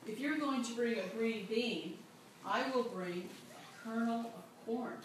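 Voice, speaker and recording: A woman's voice: fairly high pitch, slow, noisy background. A woman voicing a competitive villager in a told story, emphatic and one-upping, heard from a distance in an echoey room.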